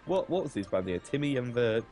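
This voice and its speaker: A man's voice: medium pitch, sing-song, fairly quick, warm tone, soft background music. Easygoing man chiming in, laughing. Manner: short agreeing replies, easy laughter. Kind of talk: casual chat.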